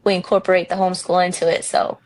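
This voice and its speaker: A woman in her thirties from New Jersey, a real estate broker answering interview questions in a frank, relaxed way with many ums. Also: fairly low pitch, quick pace.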